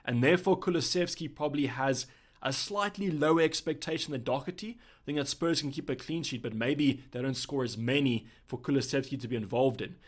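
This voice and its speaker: Male streamer from South Africa, relaxed and chatty, mid pitch, thinks aloud with fillers and restarts. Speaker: livestream host. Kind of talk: casual chat answering viewer questions.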